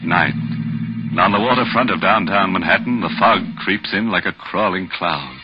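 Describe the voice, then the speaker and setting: An actor playing a gleefully morbid horror host on 1940s radio, theatrical and sardonic. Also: male voice, low voice, normal speed, close to an everyday voice. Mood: ominous. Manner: sardonic, theatrical, savoring macabre jokes. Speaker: host and narrator. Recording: dull old radio recording, music behind.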